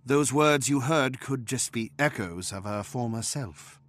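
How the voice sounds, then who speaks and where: A man's voice: mid-range voice, measured pace, fairly bright tone, close to an everyday voice. A man voicing a pompous, exacting small gnomish inventor who leads a research team in a video game, speaking precisely and formally. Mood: grave. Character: pompous, exacting male asura inventor leading a research krewe. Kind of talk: video game cutscene voice acting.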